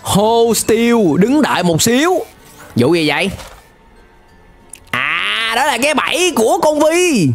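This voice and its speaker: Lively young man reacting excitedly. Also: extremely high pitch, slow pace, long pauses, faint music behind. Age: young adult. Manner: animated, exclamatory, slangy. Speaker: reaction video host. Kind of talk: excited reaction commentary on an animated episode. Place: small indoor room, webcam setup. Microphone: close desk microphone.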